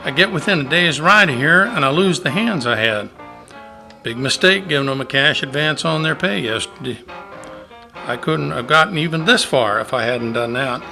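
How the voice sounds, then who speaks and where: A man's voice: on the high side, expressive, moderate pace, creaky, pushed and bright, soft background music, exaggerated voice. A man playing a harried, pushy cattle-drive cowboy with folksy Old West speech in an amateur radio play. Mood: exasperated.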